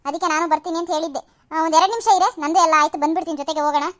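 Woman as a talkative village neighbour; high, quick delivery. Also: fairly even intonation, a bit bright. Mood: friendly. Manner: rustic village speech.

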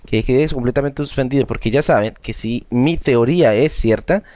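A man's voice: medium pitch, normal speed, dark tone, dry recording. A man with a Colombian accent giving casual, joking commentary over his gameplay and talking straight to his viewers. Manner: chatty, joking, addresses viewers as buddies. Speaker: gaming YouTuber.

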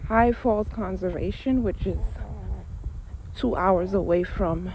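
A woman's voice: medium pitch, slow, mellow. A young woman chatting casually to camera on a phone as she hikes a wooded trail, jumpy and a little out of breath. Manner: jumpy, exclaiming. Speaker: vlogger. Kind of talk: casual vlog chat to camera while hiking. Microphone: handheld phone at arm's length. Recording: very noisy handheld outdoor recording.

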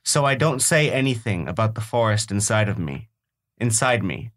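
A man with a slight sniffle, chatting and then reading a poem in a calm, measured way. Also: lowish voice. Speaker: panel member reading a poem. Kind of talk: casual chat and poetry reading.

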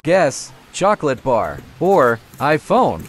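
Quiz host for short guessing-game prompts, slow delivery, swooping pitch, very noisy clicky recording. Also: wildly swooping pitch.

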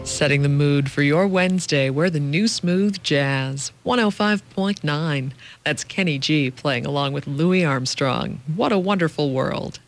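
A woman's voice: low voice, unhurried, hardly any pauses, faint music behind. A woman with an American accent announcing songs as a radio DJ.

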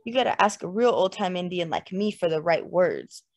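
A woman voicing an easygoing, dry-witted old hunter with a flat, folksy manner, in an audiobook. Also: fairly low pitch, moderate pace. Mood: dry, wry. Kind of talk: dramatized literary audiobook dialogue.

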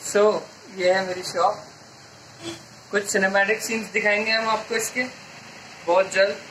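Young male shop-tour vlogger, cheerful, phone at arm's length, bright tone. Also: sits high, little pitch movement, measured pace, slight background noise, low-quality recording. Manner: upbeat, mixes English into Hindi. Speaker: vlogger showing his shop. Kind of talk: casual vlog chat. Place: inside a small electronics shop.

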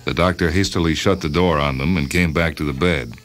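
A man's voice: a bass voice, brisk, fairly bright tone. A man reading a short story aloud as a scripted, dramatic narration. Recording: music bed under the voice. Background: music.

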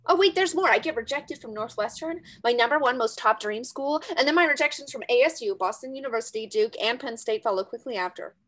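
Casting: woman as nervy, sarcastic American high school girl for a staged play, high voice, theatrical rambler. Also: lively intonation, a little fast, few pauses, a bit bright. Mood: sarcastic, catastrophizing. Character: anxious, dramatic teenage girl, aspiring student and ballet dancer. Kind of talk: virtual staged play reading. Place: bedroom, indoors. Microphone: computer video call.

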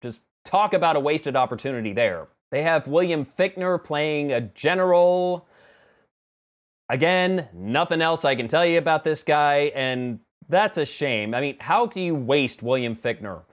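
Sarcastic man with very lively intonation. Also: on the high side, a little slow. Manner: sarcastic, exasperated. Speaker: film review vlogger. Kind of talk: conversational movie review to camera. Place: small home office room indoors.

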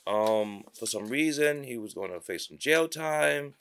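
A middle-aged man co-hosting a casual chat show, animated and incredulous, asking the same question again and again. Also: medium pitch, unhurried, clear, resonant tone. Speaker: podcast co-host. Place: small studio set, indoors.

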